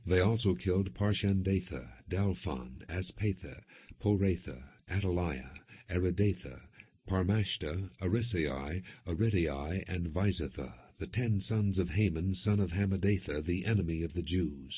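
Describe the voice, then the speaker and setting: A man reading scripture as an audiobook narrator, in a measured, formal, non-dramatized style. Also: low voice, husky. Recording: muffled phone-like lo-fi audio.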